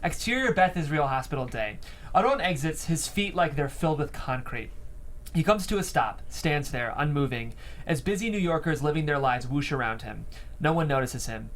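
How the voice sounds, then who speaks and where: A man's voice: on the high side, expressive, normal speed, a bit bright, slight background noise. A man reading scene headings and stage directions as narrator of a script table read.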